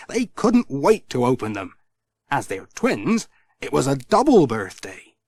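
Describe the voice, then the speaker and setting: A male audiobook narrator reads a children's story expressively. His mid-range voice swings widely and animatedly in pitch, and the recording is close and dry. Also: dry recording.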